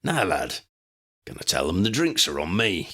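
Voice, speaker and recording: A man voicing a gruff, shady middle-aged wizard dealer who talks in rough slang. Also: low voice, sing-song, moderate pace, sharp tone, heavily acted. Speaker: voice actor.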